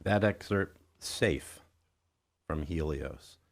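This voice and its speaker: A man hosting a casual radio interview with halting phrasing. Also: rumbling low, creaky.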